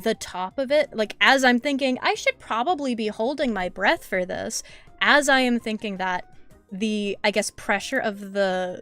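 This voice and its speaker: A hoarse woman streaming as she draws, chatting in a rambling way with lots of fillers and restarts, swearing now and then. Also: a little high. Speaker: artist streamer. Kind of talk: casual chat while drawing on stream.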